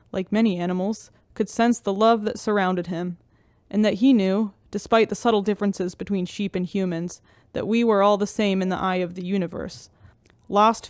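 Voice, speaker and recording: A woman's voice: mid-range voice, darkish tone, no room sound, clean studio sound, lightly acted. A woman playing a tender, grieving exozoologist, speaking earnestly in a sci-fi audio drama.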